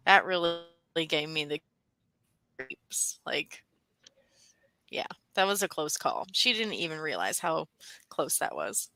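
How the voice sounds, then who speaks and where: A woman's voice: deep voice, sharp tone. A woman co-hosting a casual book chat, gushing and peppering her talk with "like". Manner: gushing, says "like" very often. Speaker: co-host of an online book discussion.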